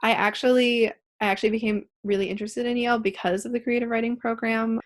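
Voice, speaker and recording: A woman's voice: fairly high pitch, fairly even intonation, fast talker. A woman in her twenties with an American accent, answering interview questions conversationally as a playwright. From Pittsburgh, United States. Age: 20s.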